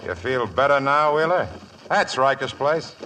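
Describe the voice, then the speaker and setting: An actor playing a surly, menacing outlaw boss in a radio western, with curt, drawling slang. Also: male voice, mid-range voice, lively intonation, measured pace, bright, forceful tone, a little hiss or hum, poor audio. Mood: scornful. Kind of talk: 1950s radio western acting.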